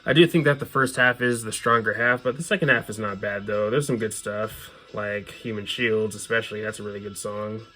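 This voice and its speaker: A man's voice: fairly low pitch, steady tone, a little fast, few pauses, bright, forceful tone, slight background noise. A man hosting a casual show-and-tell, talking in an informal, opinionated fan's way as they go through their collection. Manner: casual, opinionated fan talk. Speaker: host presenting their music collection.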